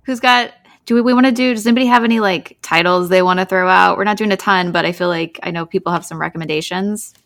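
A woman's voice: on the low side, fairly even intonation, quick pace, a bit bright. Woman hosting a casual podcast. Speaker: podcast host. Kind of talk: casual panel chat.

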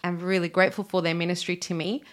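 A woman's voice: fairly low pitch, little pitch movement, quick pace. A young woman from Australia speaking earnestly as a guest on an interview podcast.

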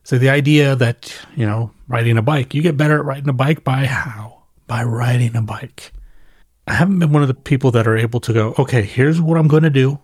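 A man giving a casual, reflective solo monologue off the cuff like a podcast host, conversational and thoughtful, leaning on repetition and pauses for emphasis. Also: mid-range voice, darkish tone, slightly rough, no echo, clean studio sound. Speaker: podcast host and newsletter writer.

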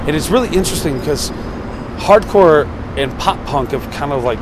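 A man's voice: on the high side, expressive, normal speed, background noise. A man of thirty hosting a podcast, asking questions in a loose, chatty, joking way. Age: 30s. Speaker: podcast host. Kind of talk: casual interview chat.

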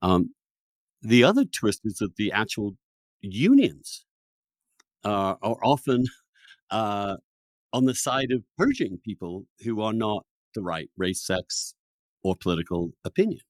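A man's voice: on the low side, sing-song, measured pace, long pauses, no room sound. A man hosting a podcast, giving opinionated conversational commentary and often repeating and restarting his phrases. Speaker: podcast host.

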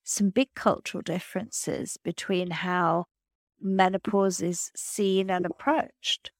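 A woman's voice: fairly low pitch, fairly even intonation, no room sound, clean studio sound. Earnest woman podcast host. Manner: affirming, measured, earnest. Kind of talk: interview conversation.

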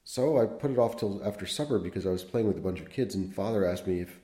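A man's voice: lowish voice, dark tone, lightly acted. A man doing a scared young boy confessing. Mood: rushed. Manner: despairing, rushed and breathless. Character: frightened eleven-year-old boy confessing. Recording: roomy echo.

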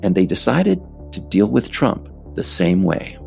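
Low, creaky American male narrator, lively delivery. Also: unhurried, faint music behind. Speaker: author and narrator reading own book. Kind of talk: scripted political narration.

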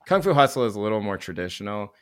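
A man's voice: fairly low pitch, sing-song, rapid-fire. A middle-aged man, born in Korea, chatting casually as a podcast host, opinionated and sweary, with a discursive storytelling way of talking. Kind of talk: casual podcast film chat.

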